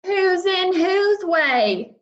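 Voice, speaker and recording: A woman voicing an obstinate, snappish male storybook creature with defiant, deliberate, drawn-out emphasis. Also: extremely high pitch, lively intonation, lo-fi sound, pitched above the performer's own voice, heavily acted.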